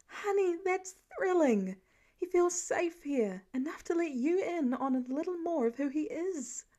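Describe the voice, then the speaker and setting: Lady doing a supportive wife in an audiobook, high voice, affectionate, stretches her words a bit. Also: animated, measured pace, dark tone. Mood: delighted, curious. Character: warm, encouraging wife and mother, eager and supportive.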